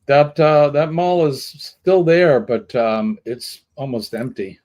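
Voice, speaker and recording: A man's voice: medium pitch, fairly even intonation, slow pace, darkish tone. A man in his sixties from New England co-hosting a casual live stream. He explains local things in an easy, conversational way and reads viewer comments aloud. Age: 60s.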